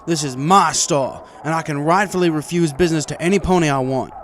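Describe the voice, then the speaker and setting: A man's voice: on the high side, fairly quick, pitched above the performer's own voice, lightly acted. Man acting a defiant pony shopkeeper, noisy recording. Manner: blunt, indignant, stubborn.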